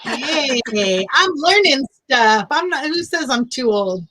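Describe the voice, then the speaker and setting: A woman's voice: high voice, lively intonation, slow pace, sharp tone. A woman hosting a casual live chat over a video call, chatty and upbeat, laughing easily. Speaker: livestream host. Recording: choppy internet stream.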